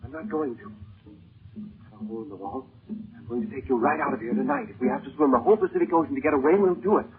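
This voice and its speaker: A man's voice: medium pitch, lively intonation, fast, a crowd in the background, lightly acted. A young-sounding actor playing a headstrong, devoted boy, urgent and earnest in 1930s radio style. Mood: urgent, determined. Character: headstrong, devoted teenage boy bent on rescue. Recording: tinny 1930s radio recording with music.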